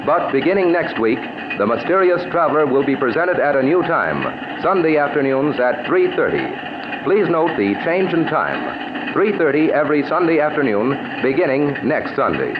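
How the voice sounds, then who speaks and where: A man working as a radio announcer, reading a script in a formal, measured 1940s style. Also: on the high side, big pitch swings. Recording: muffled, very hissy 1940s radio recording.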